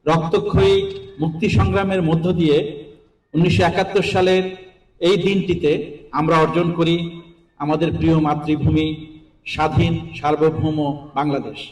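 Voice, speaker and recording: Echoey, highish Bangladeshi man giving formal speech. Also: darkish tone. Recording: echoey room, distant microphone.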